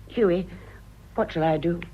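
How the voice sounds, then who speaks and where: A woman's voice: fairly low pitch, moderate pace, dull audio, slight background noise, natural voice. An actress playing a worldly, rueful older mother-in-law, pleading with emotional, hesitant delivery in a radio drama. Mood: anxious, pleading.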